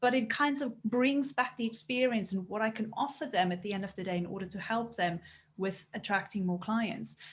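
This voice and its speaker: A woman's voice: mid-range voice, normal speed. A woman from South Africa whose first language is Afrikaans, speaking English with a South African accent as a business coach guest in an earnest, casual interview chat.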